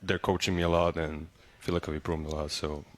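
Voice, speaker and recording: A young man, a native Serbian speaker, talking in a relaxed interview as a college basketball player, with lots of fillers and restarts. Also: rumbling low, quick pace. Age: young adult. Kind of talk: casual interview.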